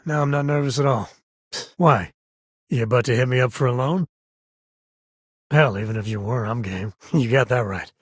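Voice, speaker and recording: An easygoing male space rogue and protective father from a video game, acted by a man. His mid-pitched voice is heavily husky and rough at the edges. He talks in a folksy, wry, casual way, recorded very dry. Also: moderate pace, pauses often, dry recording, lightly acted. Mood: warm, candid. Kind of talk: video game character voice lines.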